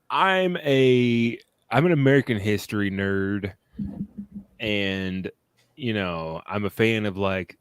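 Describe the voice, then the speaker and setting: A man hosting a casual film podcast, rambling and relaxed, often laughing between thoughts. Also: fairly low pitch, clear, resonant tone, dry recording, clean studio sound. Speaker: podcast host.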